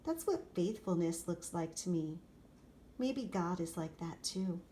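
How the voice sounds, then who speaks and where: A woman reading a children's picture book aloud expressively, in short, deliberate phrases. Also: on the low side, expressive. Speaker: storybook reader. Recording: clear background noise, room ambience.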